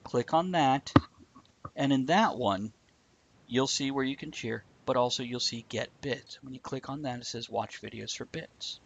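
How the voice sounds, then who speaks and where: A man's voice: mid-range voice, a little slow, gravelly, dull audio. A man co-hosting a livestream, chatting casually in a joking, bantering way. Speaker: livestream co-host. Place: indoors, live stream.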